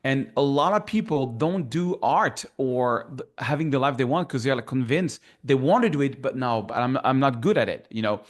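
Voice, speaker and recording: Middle-aged Frenchman, strong French accent, casual guest chat, enthusiastic and rambling, mid pitch. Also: animated, normal speed, hardly any pauses. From France. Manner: rambling, enthusiastic, full of fillers and restarts. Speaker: guest photographer. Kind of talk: casual interview conversation.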